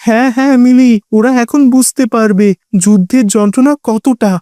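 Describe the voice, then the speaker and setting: Give me a woman playing a young cartoon boy, earnest and exclamatory, with a soft tone and dry sound. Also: fairly high pitch, normal speed, dry recording, close to an everyday voice. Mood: amazed, hopeful. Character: earnest young refugee boy, amazed and hopeful. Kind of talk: cartoon story dialogue.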